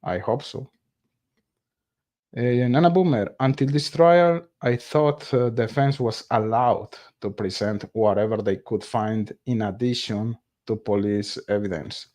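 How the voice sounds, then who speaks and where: A non-native English-speaking man hosts a relaxed live stream, reading viewer chat aloud and replying. His voice sits a little low, and he talks slowly, stopping often to hunt for the right word. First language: not English. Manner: halting, searches for words, many fillers.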